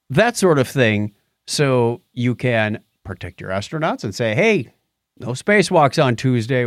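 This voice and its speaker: A man's voice: medium pitch, dry recording, clean studio sound. A man co-hosting a science news podcast, chatting casually and cracking jokes as he comments on stories. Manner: jokey, wisecracking.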